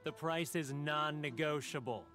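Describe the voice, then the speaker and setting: An actor playing a bitter, suspicious merchant in a video game, with a brusque manner. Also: male voice, fairly high pitch, measured pace, natural voice. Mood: scornful. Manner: scornful, brusque. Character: gruff, bitter male merchant, dismissive and suspicious. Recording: music behind the voice.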